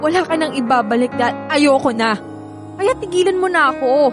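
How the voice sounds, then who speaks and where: A woman's voice: high-pitched, lively intonation, fairly quick. An actress playing a firm, hurt young woman rejecting her ex in a Filipino radio drama, emphatic and exasperated. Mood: hurt, angry. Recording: music bed behind the voice.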